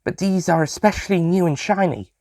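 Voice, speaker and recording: A man's voice: sits high, fairly quick, darkish tone, dry recording, studio quality, pitched above the performer's own voice. A man voicing a keen, observant young woman in an audio story, eager and matter-of-fact. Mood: explanatory.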